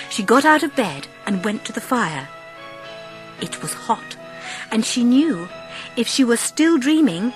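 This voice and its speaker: Expressive woman narrator with lively intonation. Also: fairly high pitch. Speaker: audiobook narrator. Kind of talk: dramatised scripted storybook narration.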